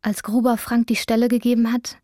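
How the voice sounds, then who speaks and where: A woman's voice: a little high, little pitch movement, fairly quick, mellow, no room sound, studio quality, natural voice. A woman narrating a literary radio drama, recounting an anxious man's inner thoughts. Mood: reflective, measured.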